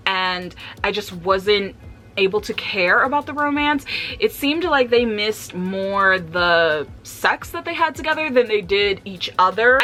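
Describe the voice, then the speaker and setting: A woman chatting casually and candidly about books for a vlog, with an animated, slangy, giggly way of talking. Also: medium pitch. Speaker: book reviewer vlogger.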